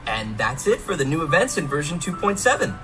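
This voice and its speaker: A man presenting scripted game announcements in an explanatory, measured way. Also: fairly high pitch, big pitch swings, low-quality recording. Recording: music playing behind the voice.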